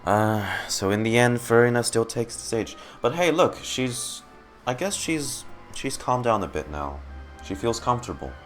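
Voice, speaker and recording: A man streaming casual game reaction commentary, excitable and often repeating his words. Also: mid-range voice, normal speed, faint music behind.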